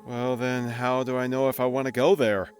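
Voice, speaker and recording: A man's voice: medium pitch, little pitch movement, moderate pace, soft background music, natural voice. A man playing a casual, sardonic, hesitant soul with a guilty conscience in an audio drama. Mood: uneasy, probing. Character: newly dead man, flippant, nervous, guilt-ridden soul. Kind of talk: naturalistic audio drama acting.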